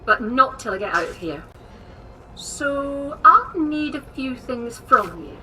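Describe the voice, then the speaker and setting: An actress playing a brisk, eccentric captive woman scientist in a video game, bossy and chipper with a British accent. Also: high-pitched, animated, far from the mic, very noisy. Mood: brisk, businesslike. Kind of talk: video game character acting.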